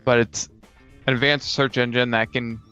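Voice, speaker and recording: A man co-hosting a casual chat about tabletop gaming, explaining his views in a measured way. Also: mid-range voice, level tone, bright, forceful tone, clean tone, soft background music. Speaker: podcast co-host, game master and player. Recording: slightly dull lo-fi sound.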